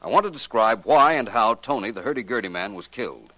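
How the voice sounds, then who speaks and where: An actor with an American accent playing a suave, assured detective in a 1940s radio drama, measured and pointed in delivery. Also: male voice, on the low side, lively intonation, sharp tone, no echo, low-quality recording. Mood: cool, probing. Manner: measured, pointed, coolly deliberate. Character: suave, assured male amateur detective solving a murder. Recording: vintage 1940s radio recording.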